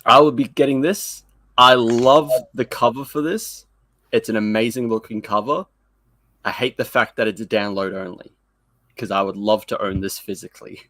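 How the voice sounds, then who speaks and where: A man co-hosting a podcast, talking casually in an animated, rambling, self-deprecating way. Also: medium pitch, normal speed, dry recording. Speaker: podcast co-host. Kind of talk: casual fan podcast chat.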